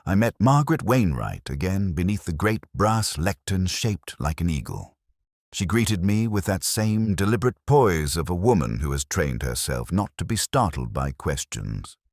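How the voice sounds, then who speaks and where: A middle-aged man reading a scripted first-person detective story in a measured, brooding, literary manner. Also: sits low, dry recording, clean studio sound.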